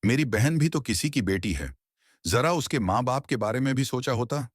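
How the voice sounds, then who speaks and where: A man's voice: medium pitch, lively intonation, moderate pace, slightly rough, dry recording, studio quality. A man voicing a protective, righteous young brother in a cartoon moral story, speaking earnestly and admonishingly. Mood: reproachful.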